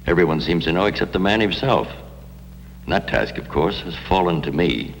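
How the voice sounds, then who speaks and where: An actor playing a grave, dryly wry, dignified archbishop, speaking in a measured, formal way in an acted interview. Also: male voice, sits low, expressive, big-room sound, slight background noise, rough recording. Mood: wry, solemn. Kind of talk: acted documentary-style interview.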